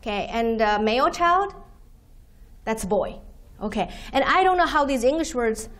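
A woman whose first language is Chinese, giving a university lecture from a podium and explaining terms slowly with many "okay"s. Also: fairly high pitch, animated. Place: lecture room, standing at podium by projector screen.

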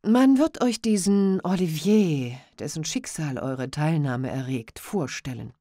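A woman's voice: low voice, lively intonation, unhurried, mellow, no room sound, studio quality. A woman reading the part of a smirking, ceremonious male court president, with formal, mocking politeness.

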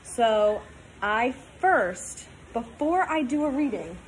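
A woman in her twenties reading a storybook aloud to young children, talking in simple, sing-song, animated phrases. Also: a little high, takes it slowly, a little hiss or hum, low-quality recording. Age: 20s. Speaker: teacher reading aloud to pupils.

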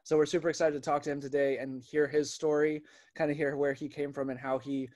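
A man's voice: on the high side, flat delivery, a little fast, a little mellow. A man in his thirties hosting an interview on a video call, casual and upbeat with fillers. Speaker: host. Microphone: webcam on a video call.

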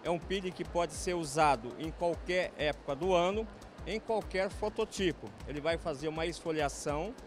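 An older Brazilian man explains a product at a busy fair stand, speaking into a handheld mic. His voice is high for a man and steady in its teaching. Faint music plays behind. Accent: Brazilian Portuguese. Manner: instructive, steady, fond of "né". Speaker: technical consultant. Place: trade fair stand, indoors. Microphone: handheld mic held by reporter.

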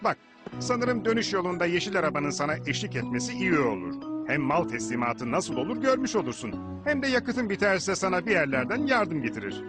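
A man playing a kindly, fretful farmer in a cartoon, patient and gently scolding. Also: high-pitched, big pitch swings, brisk. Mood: advising. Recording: music behind the voice.

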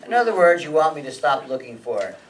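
A man's voice: fairly high pitch, very animated, quick pace, slight background noise. Older man as a terse hard-boiled detective, distant. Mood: pressing. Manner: terse, matter-of-fact. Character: hard-boiled, sardonic male private detective narrator. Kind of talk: old-time radio acting and noir narration. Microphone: stand microphone on stage, heard from audience. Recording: live stage pickup from far off, music.

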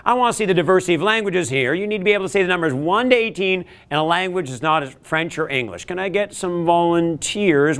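A middle-aged man from Canada leads a room of students, upbeat and animated, with sudden loud calls to the crowd. His voice sits a little high. It is picked up by a camera across a large classroom. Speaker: leadership trainer. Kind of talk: energetic workshop presentation. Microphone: far camera.

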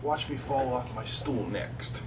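A man's voice: mid-range voice, unhurried. A man in his forties hosting a welding demonstration in an indoor workshop, talking casually and joking at his own expense while he works. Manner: joking, self-deprecating, talks while working. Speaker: welding video host. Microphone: lapel mic clipped to shirt. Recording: distant with noticeable shop noise.

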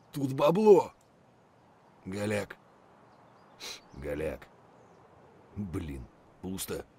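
An actor playing a gruff, wary, foul-mouthed male mercenary soldier in terse video game voice lines. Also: deep voice, a little mellow, a little hiss or hum, full character voice. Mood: searching, terse.

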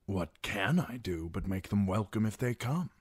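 A man voicing a sly, courteous lord in a fantasy audiobook, speaking in a mild, measured, apologetic way. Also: on the low side, lively intonation, slightly rough, no room sound, clean studio sound, pitched above the performer's own voice. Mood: resigned, mild. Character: smooth, soft-spoken, sly, courteous lord.

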